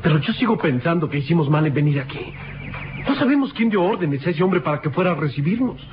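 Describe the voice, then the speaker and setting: A man's voice: on the high side, animated, quick pace, close to an everyday voice. An actor playing a wary, suspicious young boy sidekick in an old radio drama. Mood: worried, suspicious. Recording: dull, noisy old radio recording.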